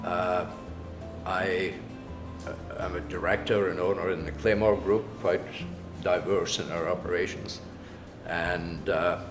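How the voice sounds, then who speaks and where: A man's voice: low voice, steady tone, unhurried. A man, a businessman and legislator, introducing himself with some hesitation. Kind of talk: self-introduction. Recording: echoey room with music behind.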